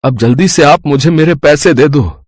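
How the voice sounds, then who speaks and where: A man's voice: a little high, normal speed, no echo, studio quality, voice pushed down, lightly acted. A man voicing a poor, kindly old village butcher, humble and pleading, in a cartoon story. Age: older. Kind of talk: Hindi cartoon story dialogue.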